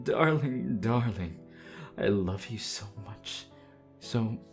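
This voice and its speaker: Guy acting as a creepy lovesick undead demon husband, really slow theatrical delivery, music behind him. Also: mid-range voice. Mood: possessive, soothing. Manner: theatrical, swinging from tender murmurs to desperate demands. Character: undead demon husband, obsessively loving, unhinged and menacing. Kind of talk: ASMR roleplay audio acting.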